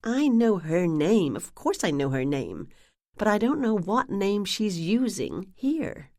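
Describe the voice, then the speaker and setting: A woman voicing a woman who puts on a giggly, gossipy act with a New England accent, dropping to a confiding hush at times. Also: medium pitch, very animated, takes it slowly, no room sound, studio quality, pitched above the performer's own voice. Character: woman posing as a giggly, chatty lost sister.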